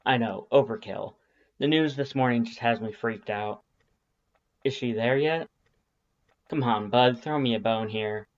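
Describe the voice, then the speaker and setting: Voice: mid-range voice, measured pace, pauses often, lightly acted. A laid-back skeleton guy, voiced by an audiobook reader, trying to hide his worry. He talks in casual, apologetic slang. The audio is a touch dull. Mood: worried. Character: laid-back, anxious male skeleton monster, friendly texter.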